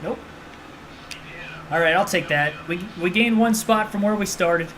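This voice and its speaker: A man in his twenties chatting excitably as he plays and talks over a racing game on a livestream. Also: high voice, a little hiss or hum, rough recording. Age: 20s. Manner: chatty, excitable, quick reactions. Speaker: livestream host. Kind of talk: casual live gameplay commentary.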